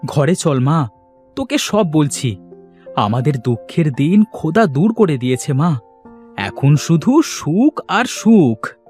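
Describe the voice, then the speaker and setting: Man acting an earnest, animated poor village father. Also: fairly high pitch, takes it slowly, husky, faint music behind, exaggerated voice. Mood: joyful, affectionate. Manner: earnest, expressive. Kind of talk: children's fairy tale cartoon dubbing.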